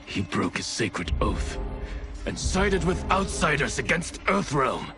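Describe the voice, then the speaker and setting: A man's voice: medium pitch, sing-song, slow pace, over music. A man playing a stern, aggrieved ninja clan leader in a game cutscene, speaking formally and solemnly. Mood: indignant. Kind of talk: dramatic video game cutscene acting. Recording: cinematic score under the voice.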